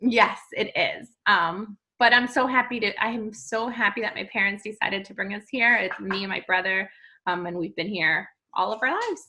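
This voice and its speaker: Bright-voiced woman from Peru. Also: medium pitch, slight background noise. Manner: halting, with many ums and restarts. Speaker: podcast guest. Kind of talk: casual interview chat.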